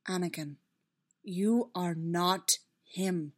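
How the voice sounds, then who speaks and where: A woman voicing a firm, protective male Jedi mentor in a story, measured and reassuring. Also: lowish voice. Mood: firm reassurance.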